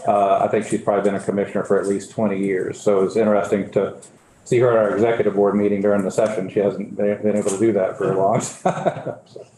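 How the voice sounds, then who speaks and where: A man directing a regional commission, giving an informal briefing to a committee and talking with plenty of fillers and restarts. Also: on the low side. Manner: relaxed, halting, many fillers and restarts. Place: legislative committee hearing. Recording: roomy hearing-room audio with faint hiss.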